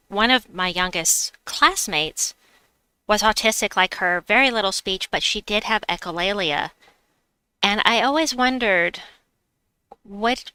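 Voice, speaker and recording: A woman's voice: medium pitch, bright, forceful tone, clear, resonant tone, dry recording, rough recording. A woman with a slight New York accent, streaming a game and chatting with her viewers as she plays. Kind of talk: casual live-stream chat while gaming.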